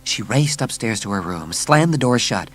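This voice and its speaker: A man narrating a children's story as scripted audiobook, with measured, dramatic storytelling. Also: fairly low pitch, expressive, a bit bright, slight background noise.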